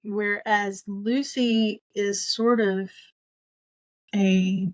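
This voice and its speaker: A woman's voice: mid-range voice, steady tone, extremely slow, no room sound. A woman co-hosting a podcast in a casual book discussion, speaking in an opinionated, playfully emphatic way.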